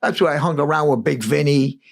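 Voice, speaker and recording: Older New guy, gravelly and a bit high, animated rambling storyteller acting out dialogue. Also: fairly quick. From United States. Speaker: guest musician. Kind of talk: casual interview storytelling.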